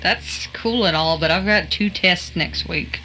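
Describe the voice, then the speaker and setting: A woman's voice: on the low side, unhurried, sharp tone, soft background music, poor audio. A woman playing a bossy young student in an audio drama, with a dry, sarcastic, blunt delivery.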